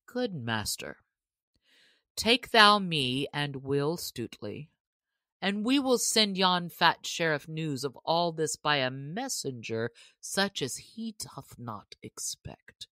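A woman's voice: deep voice, very animated, slow, pushed and bright, no room sound, clean studio sound, heavily acted. A woman voicing a loyal, bold male outlaw lieutenant in a storybook, with confident archaic speech.

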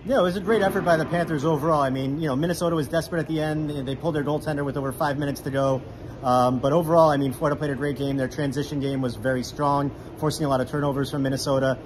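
A man's voice: on the high side, fairly even intonation, background noise. A man in his thirties, a guest sports reporter giving eager, casual game analysis in a hockey arena. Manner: quick, enthusiastic, many "I mean" fillers. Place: indoor hockey arena beside the rink. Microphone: phone camera at arm's length.

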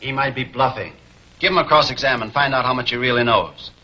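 Scheming older gang chief, male actor, sharp forceful voice, muffled vintage serial sound. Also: medium pitch, brisk, close to an everyday voice. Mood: curt, scheming. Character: hard, scheming older male racket boss. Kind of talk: 1940s film serial acting. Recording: dull 1940s film soundtrack.

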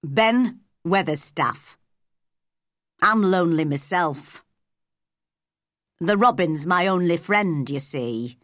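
A woman voicing a gruff, blunt, lonely old male gardener in a storybook reading. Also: fairly low pitch, expressive, very slow, fairly bright tone, no room sound, studio quality, exaggerated voice. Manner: blunt, curt.

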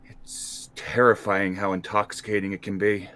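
A man playing a tabletop role-playing game, speaking improvised in-character dialogue in an earnest, measured way. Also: lowish voice, little pitch movement, sharp tone.

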